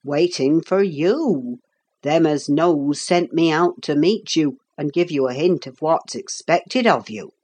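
A woman's voice: deep voice, takes it slowly, darkish tone, husky, full character voice. A woman voicing a friendly old working-class carpenter in a storybook, teasing and kindly, with rustic, ungrammatical speech. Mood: unhurried. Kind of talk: storybook character voice in a read-aloud.

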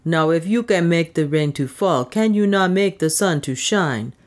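A woman's voice: on the low side, normal speed, natural voice. A woman voicing a humble, fatalistic, hard-drinking male dwarf in an audiobook, with formal phrasing.

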